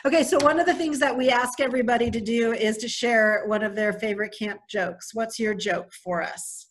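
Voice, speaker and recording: A woman's voice: fairly high pitch, normal speed. A woman hosting a camp interview over a video call, enthusiastic and encouraging with her guest. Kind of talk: upbeat interview host.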